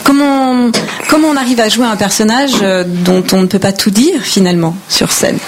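A woman's voice: mid-range voice, moderate pace, noisy background. A woman interviewing actors in a friendly, prompting way. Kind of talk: casual interview.

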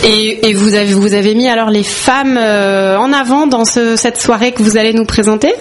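A woman's voice: on the high side, normal speed, a little hiss or hum. A woman hosting a radio show, interviewing guests conversationally with attentive questions and acknowledgements.

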